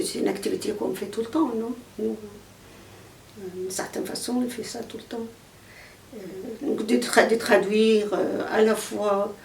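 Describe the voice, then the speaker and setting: Egyptian woman, chatting at an outdoor poetry fair, lots of fillers, gravelly, echoey and a bit far off. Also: mid-range voice. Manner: hesitant, many fillers and restarts. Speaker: poet interviewed. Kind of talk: casual conversational interview. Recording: echoey, from a distance, faint outdoor noise.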